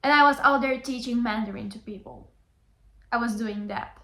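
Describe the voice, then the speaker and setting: An earnest young woman talks straight to the camera in a slightly high voice, repeating her key phrases to drive them home. The room around her is roomy and echoey. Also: normal speed, bright, forceful tone. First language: Bulgarian. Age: young adult. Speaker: Chinese tutor. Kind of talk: motivational vlog talk. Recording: roomy echo.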